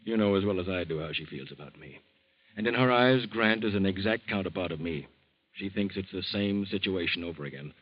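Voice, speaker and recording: An actor playing a gentle, worn-out older uncle who speaks softly and haltingly in an old radio drama. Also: male voice, low voice. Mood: calm. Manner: soft-spoken, halting. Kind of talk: 1940s radio acting. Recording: dull old radio recording.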